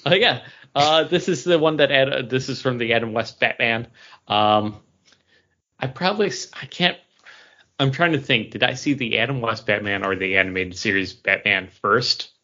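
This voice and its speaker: A man co-hosting a podcast, chatting casually about pop culture in a rambling, halting way that often trails off. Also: mid-range voice, sharp tone, lo-fi sound. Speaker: podcast co-host.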